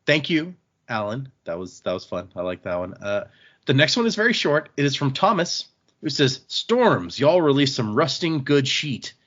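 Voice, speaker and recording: A man's voice: mid-range voice, big pitch swings, moderate pace, fairly bright tone, highs cut off. A man hosting a podcast, chatting casually and reading listener emails aloud in an easygoing, agreeable manner.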